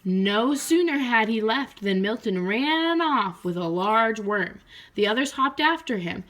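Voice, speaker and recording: A woman speaking as a teacher in an expressive read-aloud style meant for children. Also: a little high, a little slow, poor audio. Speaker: teacher reading aloud to pupils.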